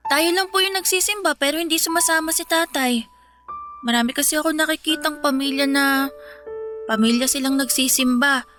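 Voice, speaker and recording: A woman's voice: high voice, fairly quick, over music, natural voice. A woman voicing a sleepy, complaining fifteen-year-old Filipino daughter in a radio drama, whiny yet polite. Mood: questioning complaint. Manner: whiny, apologetic, polite to elders.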